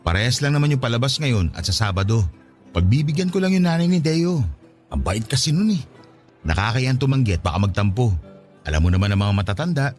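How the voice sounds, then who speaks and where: A man's voice: mid-range voice, big pitch swings, fairly quick, darkish tone, faint music behind, lightly acted. An actor playing an easygoing, playful husband in his thirties who gets defensive with his wife, in a radio drama. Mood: coaxing, defensive. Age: 30s. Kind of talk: Tagalog radio drama acting.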